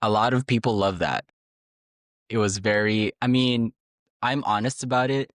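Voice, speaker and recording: A man's voice: lowish voice, moderate pace, fairly bright tone, dry recording, clean studio sound. A man from the Philippines, co-hosting a podcast in a chatty, easygoing way and laughing easily. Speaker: podcast co-host. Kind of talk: casual chat.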